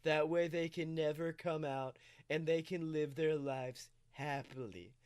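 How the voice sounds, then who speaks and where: A man voicing a smug, smooth-talking emperor who pitches a sinister scheme with gushing, salesman-like praise. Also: measured pace, a little mellow, lightly acted. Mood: smug, persuasive.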